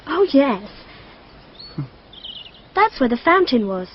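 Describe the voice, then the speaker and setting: A woman's voice: high-pitched, sing-song, slow pace, exaggerated voice. A woman playing a dreamy, self-possessed twelve-year-old girl in a radio drama, candid and matter-of-fact in a precise, childlike way. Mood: dreamy, confiding. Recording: dull lo-fi radio drama, noisy.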